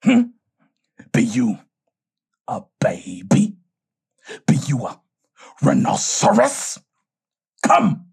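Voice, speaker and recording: An actor playing an excitable, haunted old miser in an audio drama, theatrical and halting, with a husky voice. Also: male voice, medium pitch, full character voice. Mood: agitated bravado. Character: miserly old man, excitable, haunted, humbled before spirits.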